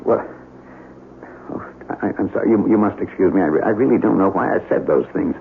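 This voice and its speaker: An actor playing an earnest, agitated scientist who doubts his sanity, with halting, insistent, formal speech in a 1970s radio drama. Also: deep voice, creaky, a little hiss or hum. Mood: flustered, apologetic. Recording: muffled vintage radio broadcast recording.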